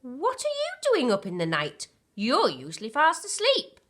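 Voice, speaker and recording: A woman's voice: high voice, huge pitch swings, normal speed, fairly bright tone, voice pushed up, exaggerated voice. A woman voicing a polite, kindly little female owl in a children's storybook. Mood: friendly, curious.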